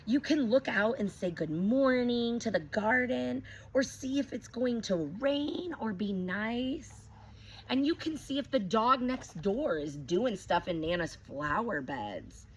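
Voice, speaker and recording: A woman teacher reading a picture book aloud to young children, expressive, stopping to ask them questions. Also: fairly high pitch, takes it slowly, a little hiss or hum, rough recording.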